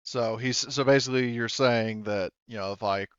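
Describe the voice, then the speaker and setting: A man with a smooth, clear voice narrates a tabletop roleplaying session as game master. He improvises casually and haltingly, swearing freely. The sound is close and dry, if a little dull. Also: medium pitch, fairly even intonation, dry recording.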